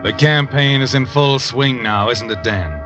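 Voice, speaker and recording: A man's voice: medium pitch, unhurried, natural voice. An actor playing a sly, knowing narrator of a radio mystery, addressing a guilty man in an insinuating manner. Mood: taunting, ominous. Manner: insinuating, addresses the character as you. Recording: dull old radio broadcast, music behind.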